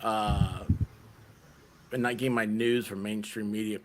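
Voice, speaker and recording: A man's voice: fairly low pitch, flat delivery, unhurried. A man hosting a casual group chat, speaking hesitantly with many fillers and restarts. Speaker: host. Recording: loud background noise.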